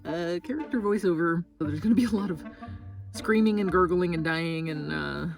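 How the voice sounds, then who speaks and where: American female voice actor, lower register, informal behind-the-scenes chat, a bit off-mic, music underneath. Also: normal speed, gravelly, distant mic. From United States. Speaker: voice actor, video host. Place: small recording booth.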